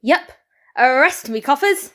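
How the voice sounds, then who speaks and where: A woman's voice: high voice, animated, unhurried, a bit bright. A woman playing a weary, sardonic village baker unmasked as a killer, dry and nonchalant, in an audio drama. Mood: wry, resigned.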